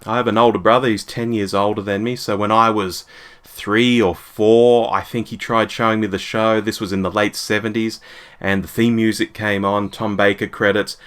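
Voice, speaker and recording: A man's voice: fairly low pitch, level tone, moderate pace, a bit bright, clear, resonant tone. A man in his forties talking casually as a podcast guest in an interview. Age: 40s.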